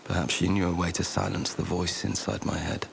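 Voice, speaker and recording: A grim warrior tells his own tale in a very low, gravelly voice. He is weary and brooding, measured like scripted fantasy game narration, over faint background noise. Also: flat delivery.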